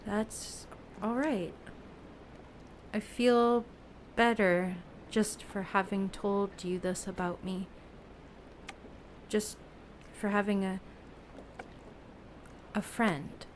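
A woman acting in an audio play as a wary, lonely ocean survivor, speaking plainly and haltingly. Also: medium pitch, steady tone, slight background noise. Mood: relieved. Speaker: actor.

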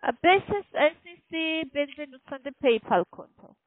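High-pitched foreign-accented woman on phone. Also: animated, a little hiss or hum. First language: not German. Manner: insistent, halting, often seeking confirmation. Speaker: call centre telemarketer selling online trading. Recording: muffled phone line, fluctuating microphone volume.

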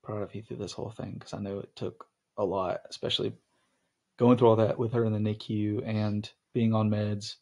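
A man's voice: lowish voice, flat delivery, normal speed, darkish tone. A young man hosting a podcast, chatting casually with his wife, rambling with lots of ums and restarts. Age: young adult. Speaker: podcast host.

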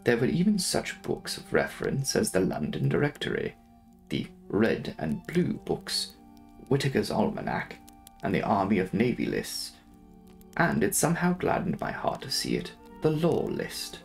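A man's voice: fairly low pitch, huge pitch swings, normal speed, gravelly. A young man narrating a classic novel as a dramatic audiobook reading. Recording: faint music behind the voice. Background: soft background music.

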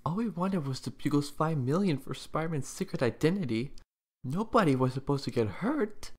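Man playing a husky, frightened accomplice. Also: a little high, lively intonation, normal speed, a little mellow. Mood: panicked. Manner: panicked, stammering. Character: frightened, panicking conspirator. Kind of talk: comic dub acting.

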